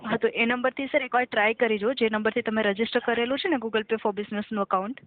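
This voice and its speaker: A woman's voice: on the high side, fairly even intonation, fast talker, a little hiss or hum. A woman speaking as a customer care agent, formal and courteous, often checking that the caller agrees. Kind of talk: scripted, polite customer service phone talk. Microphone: telephone call. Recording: tinny phone line.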